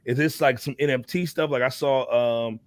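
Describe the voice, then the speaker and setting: A man's voice: medium pitch, steady tone, normal speed, dry recording. An American man hosting a podcast, chatting casually about hip hop in slangy, conversational speech. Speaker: podcast host.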